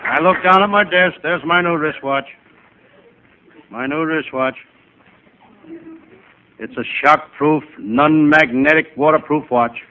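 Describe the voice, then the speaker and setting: A male comedian playing a wisecracking, hapless private eye, narrating in deadpan first person in old-time radio comedy style. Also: on the high side, slow pace, long pauses. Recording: noisy, tinny vintage radio recording.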